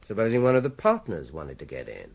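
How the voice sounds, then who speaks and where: A British police inspector in a radio crime drama. His voice sits on the low side but moves freely in pitch as he asks probing questions. He is understated, with a dry wit. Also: huge pitch swings, fast, creaky, darkish tone, slightly rough, muffled recording, heavily acted. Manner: understated, questioning, dryly witty.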